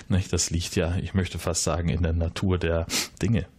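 A man's voice: little pitch movement, a little slow, darkish tone, slightly rough, no echo, exaggerated voice. A man playing a nervous, evasive base resident who rambles with many hesitations and fillers in an audio drama. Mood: flustered. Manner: rambling, hesitant, many fillers, jumps up in pitch when flustered.

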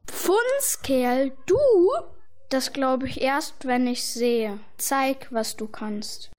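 A scornful giant from a fairy-tale radio play, acted by a woman, speaking in a high voice. The words come slowly and drawn out, full of mockery and doubt. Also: lively intonation, exaggerated voice. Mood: challenging. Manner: mocking, drawn-out delivery.

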